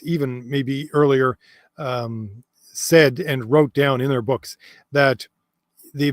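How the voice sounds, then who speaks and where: A man's voice: medium pitch, dry recording, studio quality. A man hosting a long chat stream, hesitant and full of fillers, who says he is losing his voice. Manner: hesitant, many fillers and restarts, reads comments aloud. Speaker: host answering viewer comments. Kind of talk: casual livestream chat.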